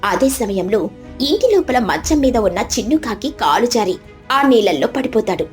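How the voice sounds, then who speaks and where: High-voiced woman narrating a children's story. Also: big pitch swings, normal speed. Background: faint background music.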